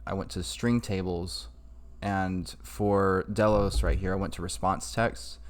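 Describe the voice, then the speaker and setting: A man talking through a casual, improvised software tutorial in an informal way. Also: deep voice, steady tone, measured pace. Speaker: tutorial maker. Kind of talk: casual screen-recorded tutorial.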